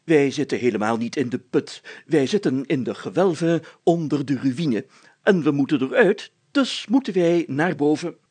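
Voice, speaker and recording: A man's voice: on the high side, animated, moderate pace, a little mellow, slightly rough, no echo, pitched above the performer's own voice. A man voicing a pompous, optimistic village mayor in a storybook, speaking emphatically and with self-importance. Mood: upbeat, commanding.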